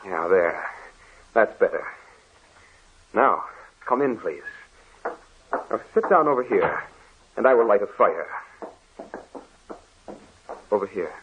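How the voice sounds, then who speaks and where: An actor playing a kindly, lonely Dutch man who speaks gently and earnestly in an old-time radio drama. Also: fairly low pitch, animated, slow pace, long pauses. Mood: tender. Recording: dull lo-fi old radio recording with faint hiss.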